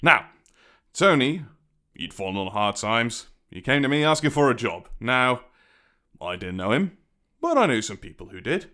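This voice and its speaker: A British gangland boss, acted by a man in a dramatic audio roleplay monologue. He threatens in a chatty, taunting tone with sardonic menace. His middle-pitched voice swings widely up and down as he toys with his victim. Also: big pitch swings, normal speed, long pauses, bright, forceful tone. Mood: cold storytelling. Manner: taunting, theatrical, conversational threats with sardonic chuckles.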